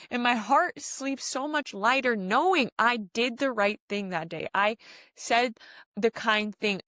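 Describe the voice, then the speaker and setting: A woman's voice: mid-range voice, a bit bright, no room sound. Woman podcaster, earnest and dry-sounding. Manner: earnest, exhortative, repeats phrases for emphasis. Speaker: podcast host. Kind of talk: spiritual self-help podcast monologue.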